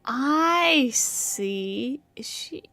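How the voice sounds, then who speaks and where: A woman streamer giving casual, halting live commentary on a game, musing aloud. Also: high voice, animated, creaky, bright, forceful tone, no room sound. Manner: musing aloud, halting, piecing thoughts together.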